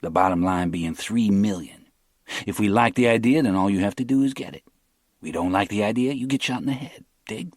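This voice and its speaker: Male narrator as a calm, menacing kidnapper; blunt, unhurried threats, husky tone. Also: fairly low pitch, no echo, clean studio sound, lightly acted. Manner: slow, flat threats.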